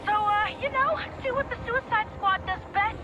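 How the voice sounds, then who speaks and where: A woman playing a plucky, cheeky young scout in a video game, talking in a casual, energetic, slangy way. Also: very high-pitched, moderate pace, highs cut off, crowd noise. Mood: cheeky, urging. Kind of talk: video game mission dialogue. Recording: loud music behind voice. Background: loud music.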